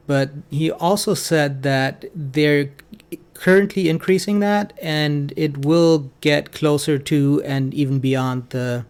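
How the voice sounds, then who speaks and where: Clear-voiced man giving halting financial commentary. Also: medium pitch. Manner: hesitant, halting delivery with frequent ums. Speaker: financial commentator hosting a stock analysis show. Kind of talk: informal analytical commentary.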